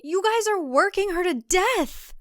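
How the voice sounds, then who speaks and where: A woman's voice: extremely high pitch, lively intonation, measured pace, no echo, clean studio sound, pitched above the performer's own voice. A woman voicing an anxious, protective female friend who is desperate and losing composure in a thriller. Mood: alarmed. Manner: agitated, distracted.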